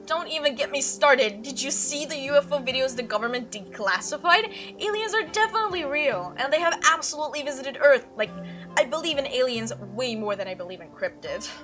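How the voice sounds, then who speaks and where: A woman voicing a chatty, excitable young female cryptid hunter from a visual novel, telling stories in an animated, gushing way. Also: sits high, fairly quick, over music. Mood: excited. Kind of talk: visual novel character read-aloud.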